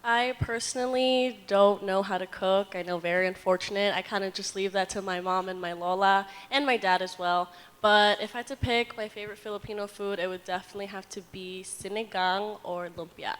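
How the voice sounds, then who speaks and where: A woman's voice: medium pitch, fairly even intonation, fairly quick, fairly bright tone, smooth. A twenty-year-old woman, a university student, talking casually on a panel. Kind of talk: casual roundtable talk. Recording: reverberant room.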